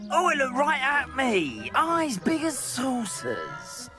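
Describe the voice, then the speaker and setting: A man playing a cowardly, terrified caretaker in a video game, halting and quavering. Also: very high voice, wildly swooping pitch, over music, heavily acted. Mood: terrified, shaky. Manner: halting, quavering, stammering with fear. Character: terrified, cowardly, dithering male castle caretaker.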